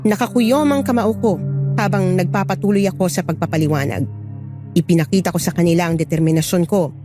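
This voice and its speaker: A woman narrator reading a scripted first-person horror story. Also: mid-range voice.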